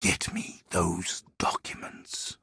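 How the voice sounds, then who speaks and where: A man's voice: takes it slowly, pushed and bright, dry recording, whispered, clean studio sound. An actor voicing a proud, earnest and wry islander man who seeks justice for his enslaved people, in scripted video game dialogue. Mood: grave, determined.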